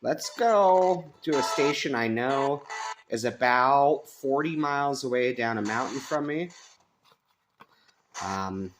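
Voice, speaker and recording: An American man giving a casual, unscripted review, thinking aloud with hesitant pauses. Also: medium pitch, expressive. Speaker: radio reviewer. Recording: clear radio static and hiss behind. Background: shortwave radio static and stations.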